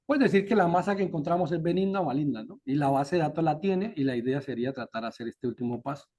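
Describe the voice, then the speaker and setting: A man with a Colombian accent presenting his statistics research and answering questions in a relaxed way, often ending his points with a questioning tag. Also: a little high, fast talker. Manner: relaxed, frequent "¿no?" tags and "eh" fillers. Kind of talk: academic talk and Q&A.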